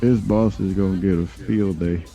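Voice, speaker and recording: Male roleplayer as a smug lawyer: deep, very dark tone, dry condescension, faint music underneath. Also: steady tone, moderate pace. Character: confident, mocking crime-boss lawyer. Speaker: roleplay gamer playing a lawyer. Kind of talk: in-character roleplay banter.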